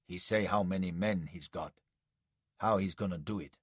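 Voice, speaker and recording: A man voicing a tense, suspicious male ally who talks in a furious low whisper with clipped, rough grammar. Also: moderate pace, dry recording. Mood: fierce whisper.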